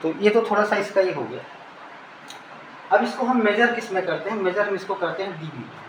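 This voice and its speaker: A high-voiced Indian man teaches in an informal lecture style. He explains step by step and often rephrases his points. The recording is echoey, with faint noise behind him. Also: expressive, brisk. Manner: didactic, repeats and rephrases phrases.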